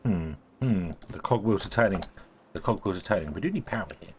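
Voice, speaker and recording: A man, casually thinking aloud as he plays a building game, his voice a little croaky from a cold. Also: low voice, expressive, brisk, slight background noise. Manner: thinking aloud, rambling, self-correcting. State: croaky, sneezy voice. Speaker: gaming video host. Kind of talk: casual let's-play commentary.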